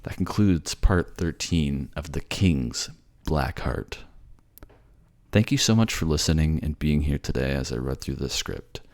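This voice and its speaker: A man with an extremely low, creaky voice talks casually to his audience as host. He is polite and modest, a little hesitant and self-deprecating. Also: unhurried, a little mellow. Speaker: voice actor, channel host. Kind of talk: casual sign-off to listeners.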